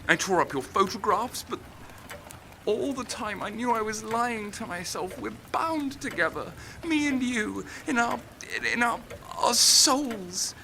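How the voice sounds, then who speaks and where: A man's voice: high-pitched, bright, forceful tone, slight background noise, full character voice. A young man playing an anguished, lovesick young writer in a naturalistic audio drama, pleading and emotional as he repeats himself. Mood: bitter, lovesick. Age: young adult.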